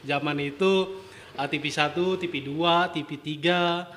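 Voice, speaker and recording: Male interviewer, sharp bright voice, bit high, noisy recording. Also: steady tone, a little slow. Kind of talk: casual chat. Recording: noticeable background noise.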